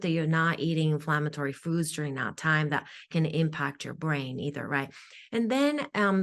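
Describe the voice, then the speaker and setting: A woman with a Japanese accent, a native Japanese speaker, explaining health practices as an interview guest in an earnest, flowing way, often repeating a word as she restarts. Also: fairly low pitch. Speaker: guest, functional nutritional therapist.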